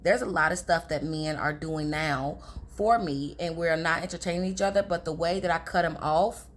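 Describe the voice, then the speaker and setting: A young woman with a raspy, hoarse voice, chatting casually to her viewers about relationships. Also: sits low, moderate pace. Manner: chatty, confiding, addresses viewers as girls. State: hoarse from talking all day. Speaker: relationship advice vlogger. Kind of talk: casual advice talk to camera.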